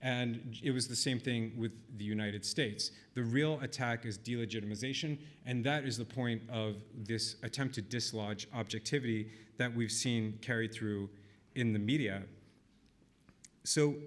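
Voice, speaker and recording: Man giving a measured conference talk. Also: medium pitch. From Israel. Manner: thoughtful, measured, with frequent ums and restarts. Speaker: conference speaker. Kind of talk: delivering a speech.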